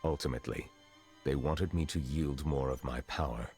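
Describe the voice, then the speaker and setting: A grave fantasy game magistrate with an extremely low voice. He is formal and reserved, choosing stately words with measured care. Faint music plays behind him. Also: a little mellow. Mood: solemn, reflective. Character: solemn, formal male chief justice, centuries old, reserved. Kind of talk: fantasy video game voice acting.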